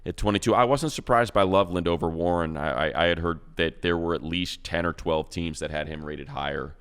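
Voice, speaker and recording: A man with an extremely low, smooth and resonant voice talks football in a casual co-host chat. He rambles in an animated way, reels off long strings of player names and often restarts his sentences. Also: brisk. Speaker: co-host, team radio play-by-play announcer.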